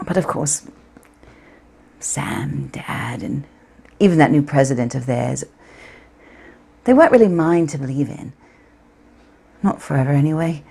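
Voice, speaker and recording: A woman playing a wistful, frank young woman in a stage monologue, speaking intimately and reflectively with deliberate pauses. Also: low-pitched, animated, vocal fry, dark tone, husky, full character voice. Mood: disillusioned.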